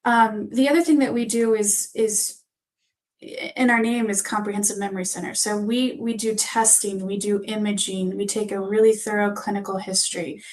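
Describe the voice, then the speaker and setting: A woman speaking as a clinician guest, explaining conversationally in a measured way and qualifying what she says. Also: mid-range voice, fairly even intonation, a little mellow.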